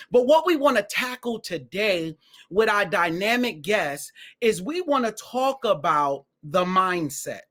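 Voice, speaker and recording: A man's voice: sits high, a bit bright. A man hosting an online interview show, upbeat and enthusiastic, often repeating phrases for emphasis. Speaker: host.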